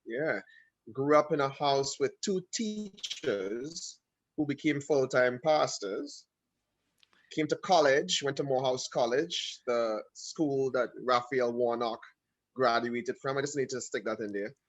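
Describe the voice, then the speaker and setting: A man from Trinidad and Tobago with a Trinidadian accent, telling his story as a guest in a casual video call interview, animated and full of quick asides. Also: medium pitch.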